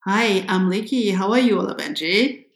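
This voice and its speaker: Woman from france just chatting on a podcast, mid pitch, roomy echoey sound. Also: moderate pace. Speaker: podcast co-host. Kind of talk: casual conversation.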